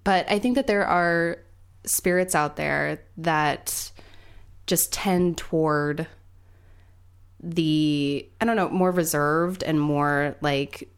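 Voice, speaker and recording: A woman's voice: deep voice, steady tone, slow pace, clean tone. A woman in her late twenties co-hosting a podcast, chatty and giggly, with many fillers. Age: 20s. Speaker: podcast co-host. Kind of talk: casual podcast chat.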